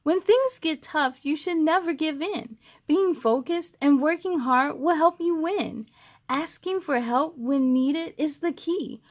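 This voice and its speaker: A woman's voice: high-pitched, a little slow. A woman voicing an earnest young boy in a storybook, plainspoken and childlike, unsure but trying hard. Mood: confident.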